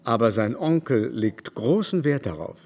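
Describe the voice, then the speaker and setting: An actor playing a vain, effusive baron and aesthete in a radio drama, talking in an affected, exclamatory way. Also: male voice, medium pitch, animated, normal speed, a little mellow. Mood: smug, secretive. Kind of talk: literary radio drama acting. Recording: studio recording with music behind.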